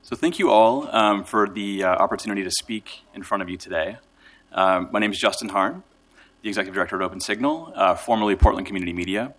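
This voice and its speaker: A man delivering a prepared, formal and measured speech as a nonprofit director in a council chamber. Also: on the low side, fairly bright tone.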